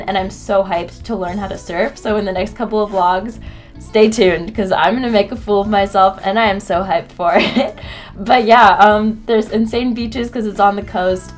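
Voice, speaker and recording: A young woman from Canada chatting excitedly in a casual vlog, full of "like" and quick asides. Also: mid-range voice. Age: young adult. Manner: bubbly, fillers with like. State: stuffed up from a cold. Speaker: vlogger.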